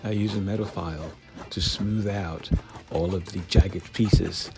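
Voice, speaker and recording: A man's voice: sits low, steady tone, unhurried, vocal fry, loud music behind the voice. A man narrating his own DIY build in a casual, candid, informal way, like a vlogger.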